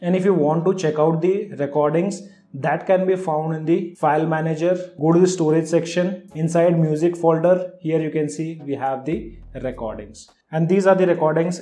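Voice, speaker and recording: A man's voice: on the high side, mellow, reverberant. A man hosting a tech channel, talking through a phone app demonstration in a tutorial voice-over. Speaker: tech video host. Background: background music.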